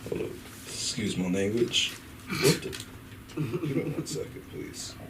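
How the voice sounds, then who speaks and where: Young man doing halting open-mic stand-up. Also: on the low side, lively intonation, recorded from a distance, heavy background noise. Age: 20s. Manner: halting, filler-heavy, swears often, laughs at own jokes. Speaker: stand-up comedian. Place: comedy club stage.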